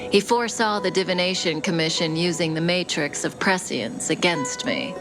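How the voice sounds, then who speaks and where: An actress playing a composed, enigmatic young woman outlaw in a video game, unhurried and coolly teasing with soft phrase endings. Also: lowish voice, animated, lightly acted, low-quality recording. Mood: calm, explaining. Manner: unhurried, deliberate, coyly knowing. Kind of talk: video game character dialogue. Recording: studio voice over game music.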